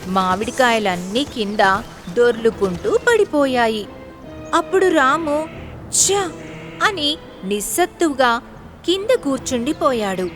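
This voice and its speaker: High-pitched, animated woman storyteller. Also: unhurried, faint music behind. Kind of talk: scripted children's moral-story narration.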